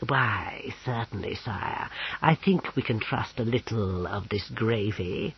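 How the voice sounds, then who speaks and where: A man doing a slow gravelly mool creature. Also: on the low side, muffled recording, slight background noise. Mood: sly.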